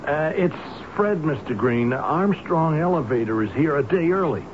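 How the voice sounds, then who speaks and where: A man playing a soft-hearted, flustered building worker in a radio drama, hesitant and stammering in colloquial speech. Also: fairly high pitch, lively intonation, normal speed, close to an everyday voice. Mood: pleading. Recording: noisy, muffled old radio broadcast recording.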